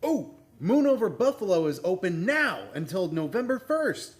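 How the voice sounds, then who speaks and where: A man with a high-pitched voice plays his part in a scripted radio promo. His intonation leaps all over, exaggerated and theatrical. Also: big pitch swings, moderate pace.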